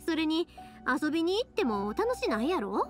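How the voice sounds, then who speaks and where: A woman's voice: high-pitched, very animated, moderate pace, soft background music. A woman playing a cheerful, caring young woman in an anime game, chatty and friendly, with a Kansai accent. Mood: gentle, caring. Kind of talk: anime game character dialogue.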